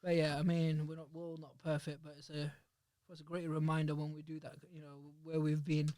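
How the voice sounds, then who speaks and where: A man's voice: a little high, monotone, darkish tone, no room sound. A man hosting a casual podcast in a small studio, rambling and restarting his sentences. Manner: rapid, chatty, rambling with many restarts. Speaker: podcast host. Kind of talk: casual chat. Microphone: studio microphone.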